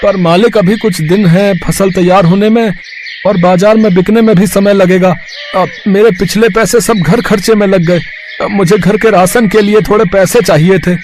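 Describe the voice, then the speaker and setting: A man's voice: high-pitched, steady tone, normal speed, a little mellow, a little hiss or hum, close to an everyday voice. An actor playing a poor, humble young farmer who speaks respectfully to his master. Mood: pleading. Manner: pleading, respectful.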